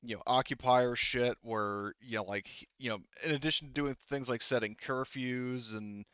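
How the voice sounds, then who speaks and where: A man's voice: mid-range voice, fairly even intonation, normal speed, a bit bright, smooth, no echo, highs cut off. A man acting as game master, narrating a tabletop game in a rambling, conversational way with many fillers and casual swearing.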